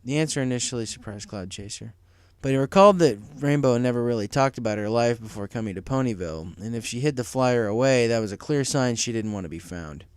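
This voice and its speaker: A man's voice: medium pitch, lively intonation, fast talker, hardly any pauses, smooth, no echo, clean studio sound. A man narrating a scripted story as an audiobook reader, in a dramatic storytelling manner. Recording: music behind the voice.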